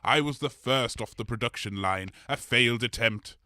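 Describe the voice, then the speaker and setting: Man voicing a bright-voiced, bumbling blob creature. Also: mid-range voice, normal speed, no echo, clean studio sound, natural voice. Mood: rambling, genial. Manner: hesitant, rambling, apologetic. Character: polite, bumbling blob creature made of absorbed people. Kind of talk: comedy audio drama interview.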